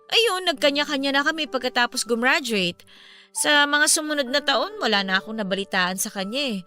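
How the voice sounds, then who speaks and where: A woman's voice: high voice, fairly quick. An actress playing a chatty, candid young woman with a Filipino accent, confiding as a sympathetic friend in a radio drama. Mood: concerned, candid. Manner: expressive, conversational, emphatic. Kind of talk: Tagalog radio drama dialogue. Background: music under the voice.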